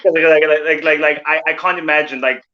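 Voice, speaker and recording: A man's voice: on the high side, steady tone, brisk. A man hosting a podcast, asking casual, blunt questions with lots of stammered restarts. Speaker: podcast host. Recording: dull lo-fi sound.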